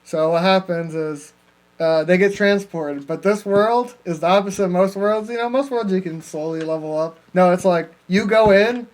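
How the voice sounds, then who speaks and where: A man's voice: high-pitched, moderate pace. A man co-hosting a podcast, chatting casually in a joking, rambling, profane way. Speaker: podcast co-host. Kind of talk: casual comedic chat among friends.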